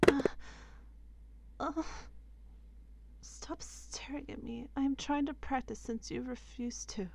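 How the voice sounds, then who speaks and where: A woman playing a young princess, stubborn yet unsure of herself, in a soft, hesitant ASMR roleplay. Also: high voice, steady tone, slow pace, full character voice. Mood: flustered. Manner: soft, hesitant, breathy asides.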